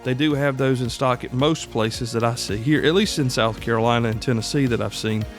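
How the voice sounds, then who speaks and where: A man's voice: mid-range voice, fairly quick, faint music behind. An American man hosting a podcast solo, talking casually in a folksy, conversational way straight to his listeners. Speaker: podcast host.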